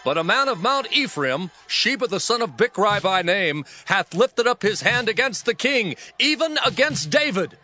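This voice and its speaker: A male actor playing a forceful army commander in dramatized scripture, emphatic and declamatory. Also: high-pitched, sing-song, moderate pace, few pauses, faint music behind, exaggerated voice. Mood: insistent. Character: forceful army commander protesting his innocence.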